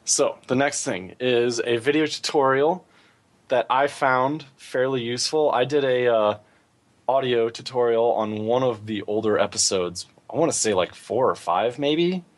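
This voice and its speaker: Male podcast co-host, medium pitch, casual gamer talk, informal and thinking out loud. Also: a little slow. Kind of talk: casual chat about gaming.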